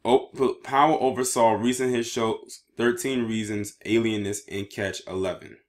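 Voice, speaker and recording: Man, lowish pitch, online video commentator, news commentary, reading aloud, occasional stumbles over words. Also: fairly even intonation, normal speed.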